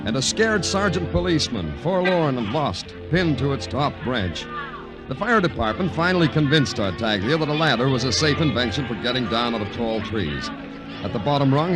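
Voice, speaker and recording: A man playing a wry, animated radio detective. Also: on the high side, lightly acted. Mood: wry, dramatic. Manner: deadpan, sardonic, florid phrasing. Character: wry, world-weary city police detective narrating his case. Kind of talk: 1950s radio crime drama narration and dialogue.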